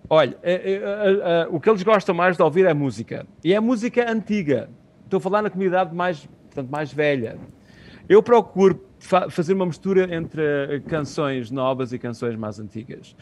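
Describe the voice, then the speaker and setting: Halting, higher-pitched middle-aged Portuguese man. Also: lively intonation, darkish tone. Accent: European Portuguese. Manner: halting, searching for words, repeating phrases. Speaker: interview guest, community radio co-founder. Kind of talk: conversational interview answers. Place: small room at home, on a video call. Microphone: earphone headset on video call.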